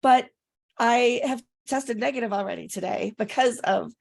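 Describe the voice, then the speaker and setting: A woman co-hosting a casual chat, her voice raspy and congested from recent illness, talking in a rambling way with fillers. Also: fairly high pitch, normal speed, darkish tone. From Rochester area, upstate New York. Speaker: podcast co-host.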